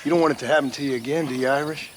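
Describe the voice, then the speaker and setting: An actor playing a stern American cowboy gunslinger in a game, with a Western accent and a dry, threatening, sarcastic manner. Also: male voice, mid-range voice, fairly even intonation, rapid-fire, slight background noise, natural voice. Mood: stern, pressing. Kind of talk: game voice acting.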